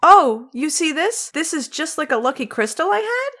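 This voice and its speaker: A woman's voice: sits high, sing-song, normal speed, sharp tone, exaggerated voice. A woman voicing a ditzy, chatty New Age psychic in a comic dub, ending her sentences like questions. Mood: pleased. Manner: uptalk, sentences end like questions. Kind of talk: comic dub character acting.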